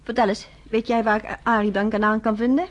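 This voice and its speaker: An actress playing an earnest, resolute woman in a radio drama, speaking in a measured way. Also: on the high side, level tone, dull audio, close to an everyday voice. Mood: eager. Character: earnest, resolute grown woman who has chosen a side.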